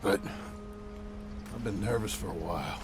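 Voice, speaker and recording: An actor playing a doubtful, loyal outlaw in a video game, with a gravelly voice and a hesitant, sincere manner. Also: male voice, fairly low pitch, moderate pace, music in the background, natural voice. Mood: uneasy, disillusioned. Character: troubled outlaw husband and father, doubtful, loyal. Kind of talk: video game cutscene acting.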